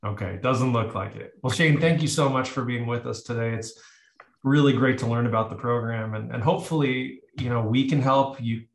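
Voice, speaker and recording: A man hosting a club meeting, wrapping up casually with a relaxed, friendly manner and some fillers. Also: mid-range voice, normal speed. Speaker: meeting host. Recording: echoey room.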